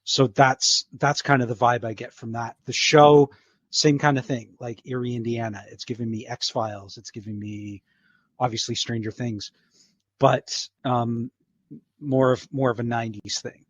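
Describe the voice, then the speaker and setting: A man's voice: medium pitch, fairly even intonation, unhurried, no room sound. Middle-aged man chatting, slightly dull sound. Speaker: guest voice actor. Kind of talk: casual podcast chat.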